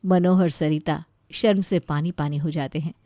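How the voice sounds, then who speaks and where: A woman's voice: sits low, steady tone, normal speed, warm tone, no room sound, clean studio sound. A woman narrating a scripted story as a storyteller.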